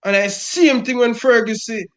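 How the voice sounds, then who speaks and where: High-voiced Jamaican man ranting. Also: moderate pace, a bit bright. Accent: Jamaican Patois. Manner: emphatic, repeats "brother" constantly. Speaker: football fan guest. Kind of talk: impassioned fan rant.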